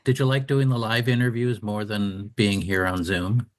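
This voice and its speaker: A man's voice: on the low side, level tone, moderate pace, no room sound. A man co-hosting a radio show, making announcements and asking questions in a casual, chatty style. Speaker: radio show co-host and interviewer.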